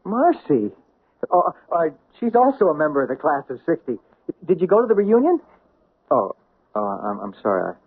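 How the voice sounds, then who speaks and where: A jittery man who stumbles over his words, with a husky voice that swings up and down. It comes through thin and tinny, like an old radio broadcast. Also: a little high, huge pitch swings, moderate pace, heavily acted. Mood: flustered. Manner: stammering, flustered. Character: nervous, guilty-feeling committee member. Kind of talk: 1970s radio drama acting.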